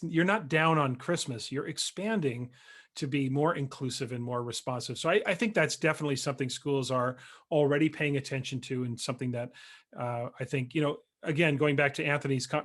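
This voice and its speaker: Measured, reflective male podcast host. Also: medium pitch, a bit bright. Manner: measured and reflective, frequent "uh" and "you know". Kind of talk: conversational interview.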